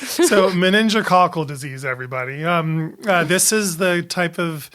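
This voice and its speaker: A man's voice: high-pitched, normal speed, a bit bright. A man, a doctor co-hosting a podcast, explaining a disease in a casual, hesitant way with many fillers.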